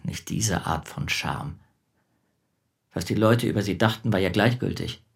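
Gravelly, withdrawn shopkeeper under suspicion. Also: male voice, on the low side, unhurried, exaggerated voice. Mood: defensive, subdued. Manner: hesitant, defensive, terse. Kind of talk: naturalistic German radio play acting.

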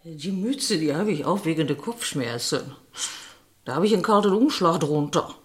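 An actress playing a shabby, worn-down older seamstress in a radio drama, with colloquial working-class speech, suspicious and rambling. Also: female voice, low-pitched, animated, normal speed, soft background music. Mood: wary, complaining.

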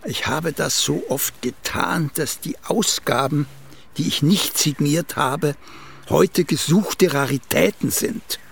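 An actor playing a vain, aging, once-celebrated playwright in a radio play, condescending and pompous, with a gravelly, husky voice and drawn-out emphasis. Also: male voice, a little high, exaggerated voice. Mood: boastful, expansive. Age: older. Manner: pompous, self-satisfied, drawn-out emphatic delivery.

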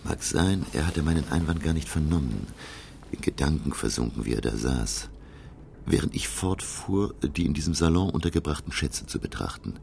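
An actor playing a learned, curious professor who narrates his undersea adventure in a radio play, gravelly and measured. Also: darkish tone, a little hiss or hum, full character voice. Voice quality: very deep, gravelly. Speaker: narrator and character.

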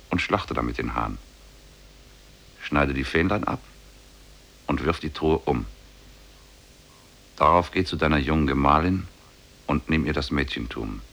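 A cunning demon in ape form in an old radio drama. The voice is extremely low and husky, with lively swings of pitch. It instructs deliberately and commandingly. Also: male voice, full character voice. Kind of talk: 1950s German radio play acting.

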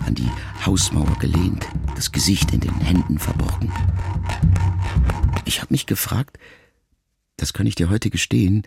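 A middle-aged actor playing a reflective grown son who addresses his dying mother in a measured, intimate radio-play monologue, with a gravelly voice. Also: very deep voice, heavily acted. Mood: sober reflection. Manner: measured, introspective, intimate storytelling. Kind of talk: literary first-person monologue in a radio play. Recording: music under the voice. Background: music.

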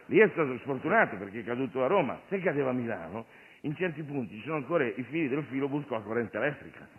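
A male comic actor delivering an animated, digressive satirical monologue on stage, addressing the audience and his sidekick. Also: medium pitch, fast talker. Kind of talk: theatrical stand-up monologue with a sidekick. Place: theatre stage. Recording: noisy, narrow phone-like radio recording of stage show.

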